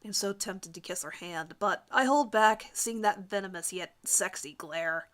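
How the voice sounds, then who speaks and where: A woman's voice: medium pitch, unhurried, a bit bright, pitched below the performer's own voice, lightly acted. A woman voicing a vain, lovestruck teenage boy who narrates his crush with overwrought emphasis in a melodramatic fan fiction reading. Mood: smitten, yearning.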